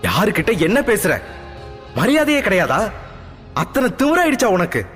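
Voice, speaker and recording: A man's voice: sits high, lively intonation, a little fast, in a large hall, faint music behind, voice pushed up. A man voicing a harsh middle-aged mother who scolds her daughter. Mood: scolding. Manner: shouting, scolding.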